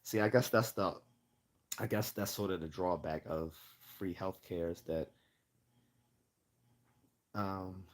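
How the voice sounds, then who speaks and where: A man hosting a casual livestream Q&A, chatting and rambling as he loses his voice and keeps clearing his throat. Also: sits low. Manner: rambling, chatty, laughs often. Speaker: livestream host.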